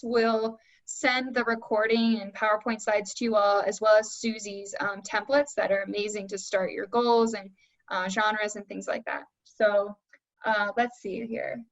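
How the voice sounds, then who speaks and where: A woman's voice: mid-range voice, a bit bright. A woman moderating an online panel question session, speaking informally with some hesitant phrasing. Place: remote video call. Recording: dull, muffled online-call audio.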